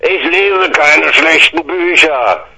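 A man's voice: mid-range voice, a little slow, bright, forceful tone, slightly rough, heavily acted, rough recording. A man voicing a grumpy, belligerent old pensioner uncle who scorns and bellows. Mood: bellowing.

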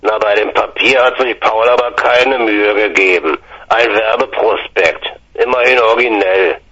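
A man's voice: lowish voice, normal speed, bright, forceful tone, slightly rough, lo-fi sound. A man voicing a grumpy, belligerent old pensioner uncle who scorns and bellows. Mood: sneering.

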